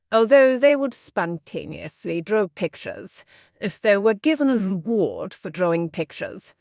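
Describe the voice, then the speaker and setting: Slow, expressive woman reading aloud. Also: mid-range voice, no room sound, studio quality. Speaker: narrator of exam practice passages. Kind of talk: scripted read-aloud narration.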